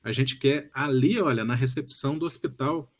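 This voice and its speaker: A man in his early fifties with a Brazilian accent and a husky edge to his voice. He hosts a conversational podcast, speaking at a medium pitch in wordy, effusive turns peppered with little check-in questions. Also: normal speed. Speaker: podcast host.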